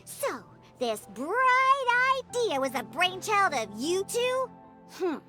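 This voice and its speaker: A woman's voice: very high-pitched, big pitch swings, takes it slowly, sharp tone, soft background music, full character voice. A woman voicing a feisty, grumpy little girl in a video game, scolding in an indignant, dramatic manner. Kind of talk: animated video game cutscene acting.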